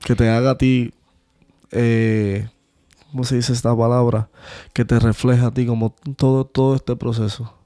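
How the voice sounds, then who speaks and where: Male faith-podcast host with a mellow tone; interviews the guest and affirms often. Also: mid-range voice, little pitch movement, normal speed. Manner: affirming with frequent amens.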